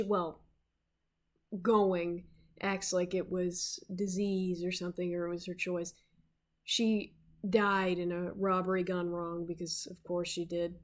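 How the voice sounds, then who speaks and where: A player voicing a centuries-old undead man who hosts old friends and speaks slowly with hesitant pauses. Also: sits high. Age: centuries-old, looks adult. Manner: slow, halting, hesitant with fillers. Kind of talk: in-character roleplay speech.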